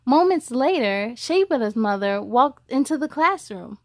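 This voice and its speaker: High pitched lady doing story time for kids, expressive, chats to the listeners, dry sound. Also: unhurried, no echo. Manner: expressive, addresses young listeners directly. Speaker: children's story time host reading a picture book aloud. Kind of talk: read-aloud storytelling with chatty asides to children.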